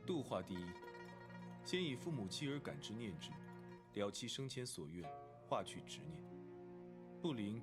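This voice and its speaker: A man playing an aloof, principled young disciple in an animated drama, speaking with formal, measured phrasing. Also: mid-range voice. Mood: composed, grave. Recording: loud music over dialogue.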